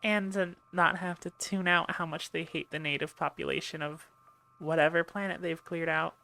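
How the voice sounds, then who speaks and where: Woman acting a creaky-voiced, chatty sci-fi ship medic. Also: low voice, steady tone, normal speed, pushed and bright, exaggerated voice. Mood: chatty, explaining. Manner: chatty, playful, reassuring. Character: chatty, teasing, reassuring female medic aboard an alien warship. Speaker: audio roleplay voice actor. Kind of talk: ASMR roleplay, one-sided conversation.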